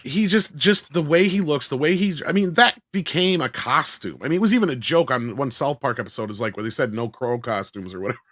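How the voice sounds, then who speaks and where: A man with a mid-pitched voice talks in a casual film-chat style, as a panelist on a pop culture show would. The recording is dry, with little room sound. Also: animated, brisk, few pauses, a bit bright, no echo.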